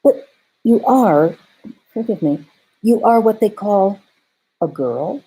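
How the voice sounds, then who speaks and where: Give me a fussy, courteous faun as a woman reading aloud puts him on, pitched up above her own voice and swinging all over in excited bows of speech. He is a polite, old-fashioned storybook creature, a bit melancholy under the fuss, and the voice stays soft and a little husky. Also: takes it slowly, rough recording. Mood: eager courteous.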